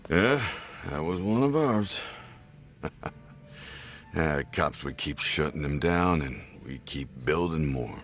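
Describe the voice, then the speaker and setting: A man voicing a boozy, world-weary old former yakuza boss with a gravelly, rambling delivery in an English game dub. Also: a bass voice, expressive, takes it slowly, heavily acted. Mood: nostalgic, musing. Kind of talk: video game cutscene dialogue. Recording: music behind the voice.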